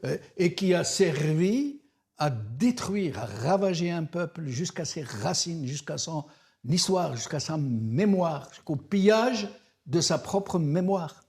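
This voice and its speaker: A man's voice: a little high, expressive, slow pace, darkish tone. A man interviewing on a political programme, searching for his words and building long, rhetorical questions with repetitions. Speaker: interviewer, TV host.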